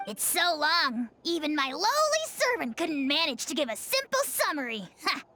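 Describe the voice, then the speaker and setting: A woman voicing a small, excitable floating fairy companion in a video game, with a high, squeaky, bouncy voice. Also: moderate pace, heavily acted. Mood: excited. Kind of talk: game voice acting. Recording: game audio with music behind.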